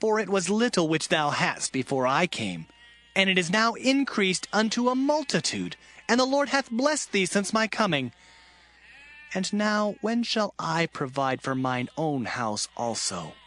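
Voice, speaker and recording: Man cast as a firm biblical herdsman, high bright voice, lively. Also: measured pace, heavily acted. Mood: insistent. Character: Jacob, hardworking herdsman tired of serving. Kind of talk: dramatized scripture reading.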